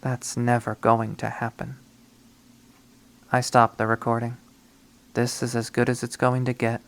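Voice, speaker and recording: A man's voice: medium pitch, completely flat delivery, measured pace, natural voice. A man voicing a brooding, obsessive young woman killer. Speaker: visual novel reader. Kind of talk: dramatic first-person readthrough.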